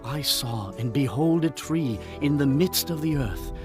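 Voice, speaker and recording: A man's voice: on the high side, lively intonation, measured pace. A man playing a proud, commanding ancient king in a dramatized scripture reading, declaiming in stately archaic English. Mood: solemn, troubled. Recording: music bed under the voice.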